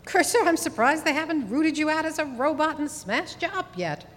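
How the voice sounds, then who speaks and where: A woman's voice: sits high, lively intonation, moderate pace, faint music behind. A woman playing a loyal, dry-witted old lady in a comic stage show, arch and precise in her banter. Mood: sympathetic. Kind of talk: comic audio theatre acting before an audience. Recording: reverberant live theatre hall.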